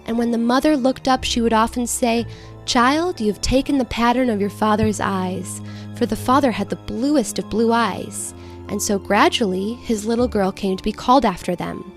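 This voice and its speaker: A woman's voice: fairly high pitch, normal speed, faint music behind. A woman reading a children's fairy tale aloud as scripted storybook narration. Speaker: story reader.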